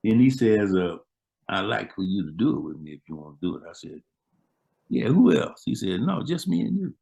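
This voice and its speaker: A man's voice: on the low side, very animated, fairly quick, vocal fry, dark tone. An older man, a musician guest, casually chatting and retelling old stories in a laid-back, slangy way. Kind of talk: casual chat, storytelling.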